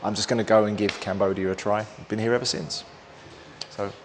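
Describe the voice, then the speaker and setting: A man's voice: on the low side, flat delivery, fairly quick, noisy background. A man, an English teacher answering interview questions in a dry, joking, self-deprecating way. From England. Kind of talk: casual interview.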